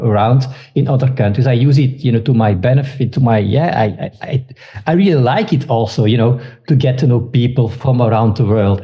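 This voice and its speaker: A man from Belgium with a Belgian Dutch accent, a podcast guest talking casually in halting sentences with many restarts. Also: medium pitch, lo-fi sound. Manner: halting, with frequent restarts and fillers. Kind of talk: casual interview talk.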